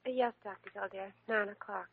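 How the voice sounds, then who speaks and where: A woman's voice: mid-range voice, steady tone, brisk, slight background noise, lightly acted. An actress playing a worried woman patient who talks hesitantly, in 1940s radio acting. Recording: tinny old radio recording.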